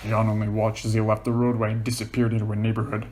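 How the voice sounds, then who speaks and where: A man's voice: fairly low pitch, monotone, fast talker, darkish tone. A man reading scripted fiction aloud as the narrator.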